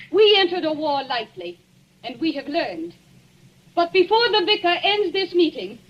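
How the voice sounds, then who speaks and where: An actress playing a stately older lady addressing a meeting in a formal, declaiming 1940s radio manner. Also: very high-pitched. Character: stately older lady giving a public speech. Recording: dull old radio broadcast, heard from afar.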